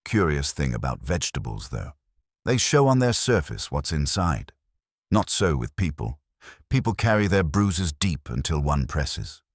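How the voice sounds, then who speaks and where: Man playing a calm male detective, very low voice, mild, courteous, quietly ironic, radio mystery, dry close sound. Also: measured pace, dry recording, heavily acted. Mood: mildly needling. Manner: mild, pleasant, quietly pointed. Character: calm, mildly ironic male police inspector, courteous and patient.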